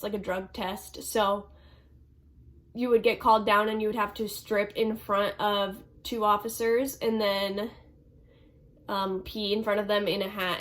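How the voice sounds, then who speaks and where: A young woman with a slightly stuffy, congested voice talks straight to her viewers in a loose, conversational way. She rambles a little, filling gaps with "um" and "like," her pitch sitting in an ordinary middle range. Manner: chatty, lots of ums and likes. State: stuffy nose. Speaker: vlogger. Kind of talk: casual Q&A vlog.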